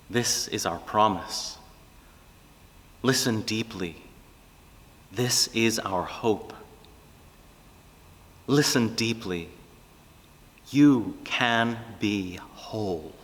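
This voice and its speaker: A man preaching a reflective, prayerful sermon, speaking earnestly in measured phrases with deliberate pauses. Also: on the low side, fairly bright tone, long reverb tail.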